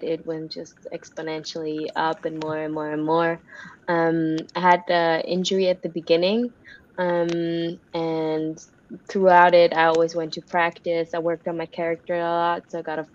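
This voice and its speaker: A woman's voice: lowish voice, level tone, normal speed, muffled recording. A woman from Switzerland whose first language is Swiss German, answering interview questions in an upbeat, rambling way with many fillers. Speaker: interview guest, pro wrestler.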